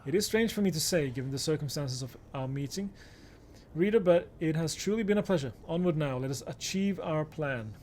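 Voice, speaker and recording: A man reading aloud a solemn, courteous exile from a video game, formal and measured, with music behind. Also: a little high, lively intonation, darkish tone, slight background noise, natural voice. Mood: solemn, fond. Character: solemn, courteous exile bidding a fond farewell.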